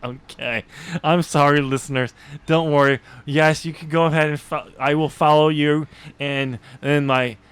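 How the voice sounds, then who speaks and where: Higher-pitched man chatting on a podcast. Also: steady tone, moderate pace, fairly bright tone, clear, resonant tone, no echo. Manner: chuckling, informal. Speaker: podcast host. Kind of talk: casual book discussion.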